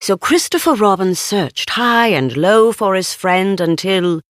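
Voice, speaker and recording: A woman reads a children's storybook at an unhurried, slow pace. Her pitch moves expressively up and down, and the recording is dry and close. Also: expressive, no echo. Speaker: storybook narrator.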